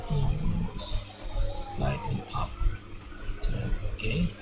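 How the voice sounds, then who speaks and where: A man in his thirties, a non-native English speaker, giving an unscripted software tutorial in a halting way, thinking aloud and repeating words. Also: fairly high pitch, wildly swooping pitch, over loud music. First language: not English. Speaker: web design tutorial instructor. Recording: loud constant background noise.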